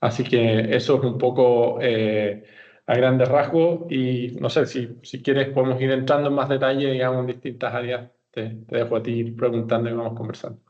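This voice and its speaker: A man's voice: medium pitch, flat delivery, moderate pace, highs cut off. A man in his forties from Chile with a Chilean Spanish accent, answering interview questions conversationally and explaining with hesitations and self-corrections. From Santiago, Chile. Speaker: podcast guest, company executive.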